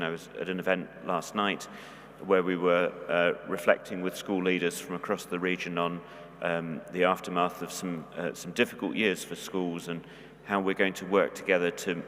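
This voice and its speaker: A senior academic man answering a question on a panel, measured with frequent hesitations. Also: low-pitched, fairly bright tone. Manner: measured, hesitant, many fillers. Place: large hall on stage. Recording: long hall reverb.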